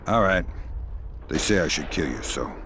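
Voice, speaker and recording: An actor playing a gruff, deadpan veteran operative in a video game, with a low, gravelly voice. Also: male voice, normal speed, slight background noise, full character voice. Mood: coolly threatening. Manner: dry, deadpan. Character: gruff, sardonic veteran male stealth operative.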